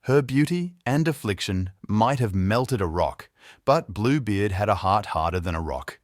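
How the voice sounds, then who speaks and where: Animated male storyteller, dry sound. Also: fairly low pitch, unhurried, no room sound. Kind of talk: scripted fairy tale narration.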